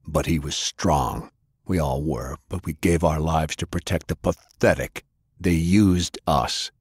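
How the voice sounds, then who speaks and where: A man's voice: extremely low pitch, a little slow, darkish tone, slightly rough, dry recording, studio quality, heavily acted. A man voicing a brutal, boastful, bitter male brawler with a taunting, sneering, growling delivery in an audiobook. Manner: taunting, sneering, slangy tough-guy talk.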